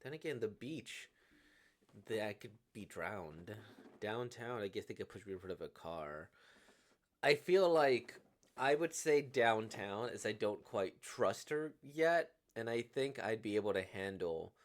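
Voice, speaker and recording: A man's voice: fairly low pitch, expressive, measured pace. A man giving casual playthrough commentary as a video host reacting to what he reads.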